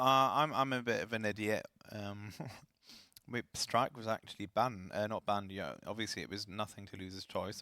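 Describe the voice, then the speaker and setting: A man casting a live esports match, chatty and self-deprecating, his voice slightly raspy. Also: on the low side, bright, forceful tone, dry recording. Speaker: esports caster.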